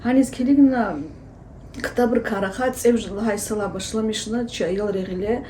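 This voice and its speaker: A woman teacher answering interview questions at length, explaining steadily with many pauses and filler sounds. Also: fairly high pitch, steady tone, dark tone, slight background noise. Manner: explanatory, hesitant with frequent fillers. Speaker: Lezgian language teacher and researcher.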